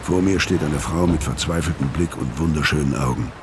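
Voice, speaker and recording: An actor playing a weary, middle-aged ex-convict who narrates and speaks in a radio crime drama, laconic and guarded, with a gravelly voice. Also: male voice, rumbling low, fairly quick, background noise. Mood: laconic, brooding. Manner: laconic, understated. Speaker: narrator and lead character. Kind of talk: German radio crime drama, first-person narration and dialogue.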